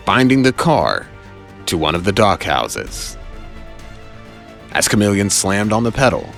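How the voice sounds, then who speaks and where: A man delivering dramatic scripted narration as the narrator of an audio story. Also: low voice.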